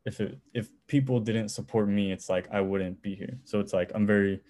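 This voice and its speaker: A young man in college, a music producer, talking as a podcast guest in a relaxed, rambling way. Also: fairly low pitch, fairly quick. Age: young adult. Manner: relaxed, rambling, many "it's like" fillers. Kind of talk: casual interview chat.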